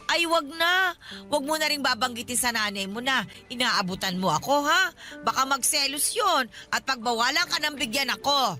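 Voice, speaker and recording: An elderly Filipina godmother in a radio drama, high-pitched and piercing. She is nosy and grasping, laying on guilt with wheedling, dramatic reproach. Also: expressive, normal speed, pushed and bright, soft background music. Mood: plaintive, conniving. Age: older.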